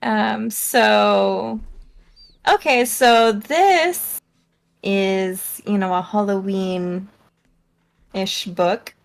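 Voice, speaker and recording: Woman casually talking books, super slow and halting, lots of vocal fry, medium pitch. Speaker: book reviewer co-host. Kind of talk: casual chat, unscripted book summary.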